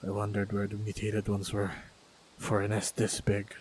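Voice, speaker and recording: A man acting a steady, protective fighter in a fantasy action roleplay, speaking to the listener in short phrases with pauses. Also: lowish voice. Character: steady protective male fighter guiding a princess. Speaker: voice actor in audio roleplay. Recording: noisy, effects-heavy track.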